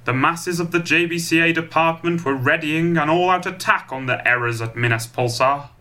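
Forceful male pantomime narrator. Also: a little high, moderate pace. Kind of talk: scripted storybook narration.

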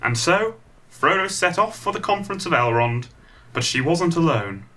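A man's voice: on the high side, animated, normal speed, bright, forceful tone. A man playing a wry, impatient narrator telling a comic pantomime story from a script. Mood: storytelling.

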